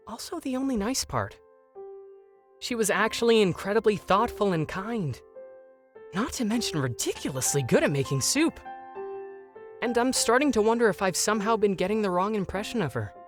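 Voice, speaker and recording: A man playing an anxious, bookish young prince in a dramatic fandub, with a hesitant, overwrought delivery. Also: sits high. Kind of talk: dramatic fandub acting with inner-monologue narration.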